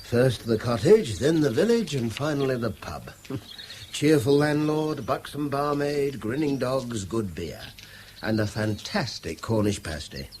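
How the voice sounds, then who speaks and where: Male actor as harried middle-class Englishman, articulate and lively in inflection, radio play with clear background noise. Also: mid-range voice, measured pace, close to an everyday voice. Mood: wry, amused. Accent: British English. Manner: articulate, theatrical turns of phrase. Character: educated middle-class Englishman, wry, increasingly harried and anxious. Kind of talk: naturalistic radio drama acting. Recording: noisy radio drama with background ambience.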